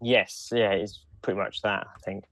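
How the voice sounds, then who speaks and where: A man's voice: on the low side, steady tone, normal speed, a bit bright, no room sound. A man co-hosting a podcast in casual conversation. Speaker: podcast co-host. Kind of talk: casual chat.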